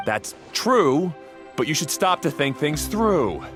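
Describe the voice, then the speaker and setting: Anxious, expressive protective brother. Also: male voice, on the high side, slow, faint music behind, full character voice. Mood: concerned. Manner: hesitant, earnest, scolding. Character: anxious, protective young brother, defensive and caring. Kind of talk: video game cutscene acting.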